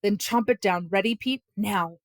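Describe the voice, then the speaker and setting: A woman's voice: medium pitch, moderate pace, no room sound, close to an everyday voice. A woman voicing a bossy, theatrical boy who proclaims like an announcer in a children's storybook. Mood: urgent. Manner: grand, proclaiming. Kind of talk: children's audiobook character voice.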